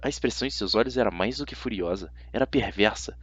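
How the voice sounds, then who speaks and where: A man's voice: on the low side, fast talker, slightly rough, lo-fi sound. A man with a Brazilian accent narrating a story dramatically in the first person, as an amateur audiobook reader.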